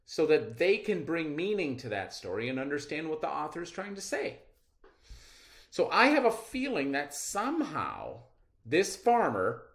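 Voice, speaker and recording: A middle-aged man teaching a read-aloud reading lesson to children in a deliberate, expressive, explanatory way. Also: fairly high pitch.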